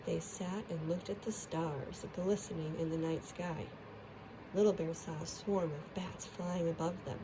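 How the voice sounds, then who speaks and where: Slow-speaking woman reading a storybook. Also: on the low side, fairly even intonation, background noise. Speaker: children's storyteller. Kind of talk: expressive storybook narration.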